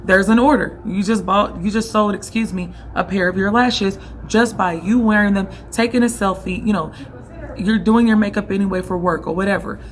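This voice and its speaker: A young woman with a hoarse voice she is losing, giving casual vlog tips on running an online boutique in a direct, coaching way that keeps checking in with the listener. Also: medium pitch, fairly even intonation, normal speed.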